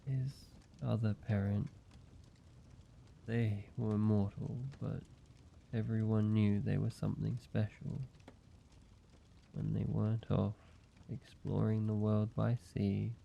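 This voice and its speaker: A man voicing an ancient vampire in an intimate roleplay audio, speaking in a measured, halting, solemn way, like a weary father telling his past. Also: fairly low pitch, vocal fry, mellow, lo-fi sound, a little hiss or hum, exaggerated voice.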